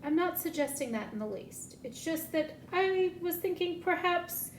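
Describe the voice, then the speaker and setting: A woman's voice: expressive, normal speed. A woman acting a bookstore returns clerk in a comic play, formal and courteous but easily exasperated. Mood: careful, puzzled. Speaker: actor in a student play. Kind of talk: comic stage play dialogue. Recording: roomy echo, low rumble, faint noise.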